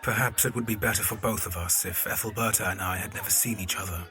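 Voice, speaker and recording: An actor playing an earnest, hesitant, genteel gentleman in a period drama. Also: lowish voice, fast, a faint crowd. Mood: wistful. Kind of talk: period literary drama acting.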